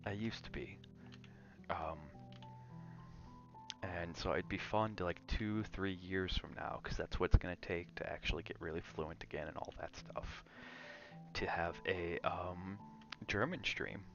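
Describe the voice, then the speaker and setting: Deep-voiced man streaming, slow and low. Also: steady tone, lo-fi sound, over music. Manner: rambling, drifting between chat and game reactions. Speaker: game streamer. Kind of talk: casual ASMR gaming livestream chat.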